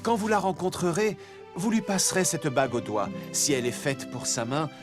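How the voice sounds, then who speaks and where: A lovestruck, regal young king in a fairy-tale cartoon. His lightly high voice is very expressive, over loud music. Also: a little fast. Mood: wistful. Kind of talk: animated fairy-tale dub.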